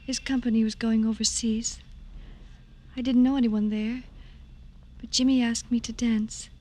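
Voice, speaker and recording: An actress playing a gentle, devoted young woman in grief, tender and theatrical in the manner of old radio melodrama. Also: on the high side, level tone, a little slow, close to an everyday voice. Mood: wistful, pleading. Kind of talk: 1950s radio melodrama acting. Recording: slightly noisy vintage radio recording.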